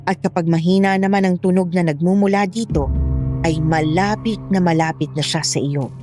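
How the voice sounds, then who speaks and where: A woman's voice: on the low side, steady tone, moderate pace. A woman reading a scripted first-person horror story as narrator. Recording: music behind the voice.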